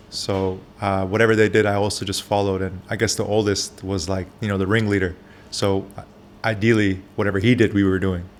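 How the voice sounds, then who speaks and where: A man who grew up in Japan, a barber, answering interview questions candidly, with soft pauses and frequent fillers. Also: lowish voice, a little hiss or hum.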